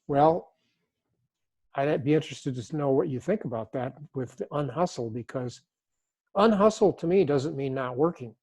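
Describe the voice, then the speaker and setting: An older man speaks as an author being interviewed, thinking aloud and circling back over his own phrases. His voice sits at an ordinary middle pitch, and his delivery stays reflective. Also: animated, normal speed, a little mellow, slightly rough. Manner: thoughtful, repeats and restarts phrases. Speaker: author and guest.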